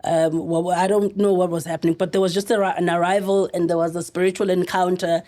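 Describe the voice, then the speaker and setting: A South African woman in middle age, guest on a podcast, speaks in a lowish, mellow voice. She is earnest and halting, often breaking off and restarting as she tells her story. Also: little pitch movement. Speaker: actress guest.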